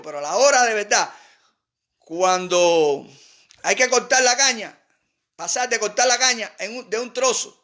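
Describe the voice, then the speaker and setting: A man's voice: extremely high pitch, sharp tone. A man with a Cuban accent delivering an impassioned political monologue as a commentator, emphatic and repeating words for stress.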